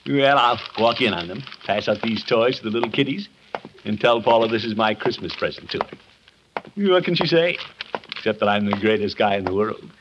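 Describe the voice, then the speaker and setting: An actor playing a pompous, boastful middle-aged suitor in a 1950s radio comedy, with a blustery, chuckling, self-satisfied manner. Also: male voice, fairly low pitch, lively intonation, brisk, dull audio, natural voice. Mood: smug. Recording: noisy vintage 1950s radio recording.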